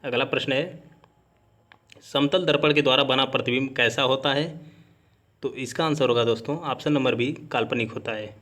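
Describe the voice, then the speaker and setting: A man's voice: medium pitch, fairly even intonation, moderate pace, a bit bright. Man reading quiz questions methodically. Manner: methodical, formulaic question-and-answer delivery. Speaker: exam-prep teacher. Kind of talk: quiz-style lesson narration, reading questions and answers.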